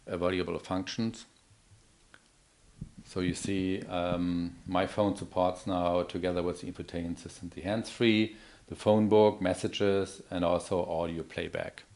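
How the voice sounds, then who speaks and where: A middle-aged man giving a live product demo in a halting, explanatory manner. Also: sits low, a little mellow. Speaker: product demonstrator.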